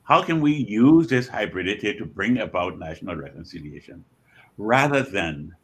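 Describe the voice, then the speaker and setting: An older man in his seventies or beyond with a Liberian accent, speaking reflectively as an interview guest in measured, deliberate phrases with pauses and repetitions. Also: lowish voice, animated, slightly rough. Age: 70s or older. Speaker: guest author and scholar.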